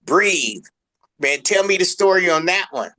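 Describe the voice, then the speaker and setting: A man's voice: high voice, animated, measured pace, sharp tone, rough recording. A man hosting a music show, chatting casually in an informal, slangy, effusive way. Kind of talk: casual interview chat.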